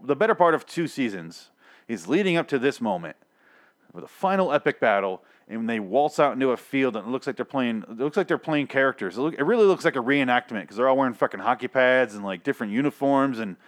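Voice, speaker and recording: Young guy ranting about a tv episode, swears constantly, rambly and fed up, kinda losing his voice. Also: medium pitch. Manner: exasperated, sweary, rambling with restarts. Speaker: TV show reviewer. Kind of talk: profane ranting review.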